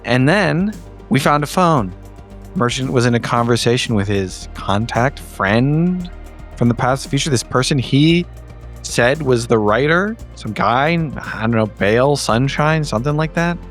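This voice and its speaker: A man's voice: mid-range voice, lively intonation, measured pace. A man recapping a tabletop game in a casual, comedic way, with a droll, wordy delivery. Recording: music under the voice.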